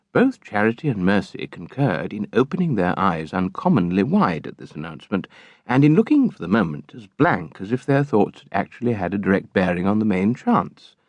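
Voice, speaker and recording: Deep male audiobook narrator, really animated pitch, measured and theatrical. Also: dry recording, lo-fi sound. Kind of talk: expressive scripted literary narration.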